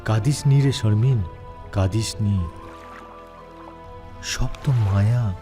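Audio drama role: male narrator as tender village sweetheart, consoling and devoted, slow speech over prominent music. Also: on the low side. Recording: music behind the voice.

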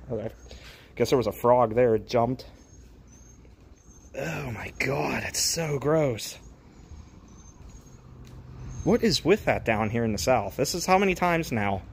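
Male vlogger, middle pitch, casual and joking, phone audio outdoors with clear road noise. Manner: chatty, amused, frequent laughs and asides. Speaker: urban exploration vlogger. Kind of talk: casual walk-and-talk narration. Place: outdoors in an empty parking lot. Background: traffic and outdoor ambience.

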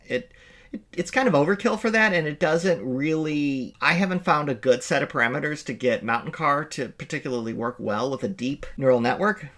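A middle-aged man teaching a coding lecture over a screen recording, explaining in a relaxed way with small restarts, recorded in a home office. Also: fairly high pitch. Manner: explanatory, self-correcting, relaxed. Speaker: instructor.

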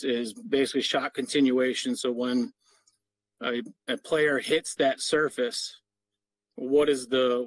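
A middle-aged man presents to a meeting over a video call in an explanatory, businesslike manner. His voice sits at an average male pitch. The sound is dry, with very little room around it. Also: dry recording, rough recording. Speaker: parks maintenance official presenting. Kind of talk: slide presentation to a board meeting.

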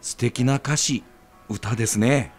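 A man's voice: medium pitch, takes it slowly, faint music behind, lightly acted. A man playing an appreciative regular at a ramen stall in a radio skit, speaking politely and earnestly. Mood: moved, reflective.

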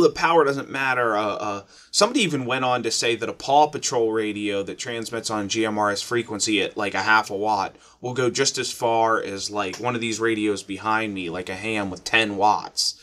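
An American man in his thirties talks straight to his viewers in a conversational, opinionated way. His voice sits at a middle pitch, and he explains things casually, like a host sharing practical advice at home. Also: a bit bright. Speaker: host of an emergency radio advice channel. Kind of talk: casual explanatory talk to camera. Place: furnished room at home.

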